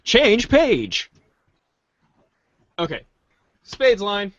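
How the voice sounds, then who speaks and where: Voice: mid-range voice, big pitch swings, extremely slow, no echo, lo-fi sound. A podcast host doing a playful, theatrical group reading of a webcomic script. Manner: playful, theatrical page-change calls.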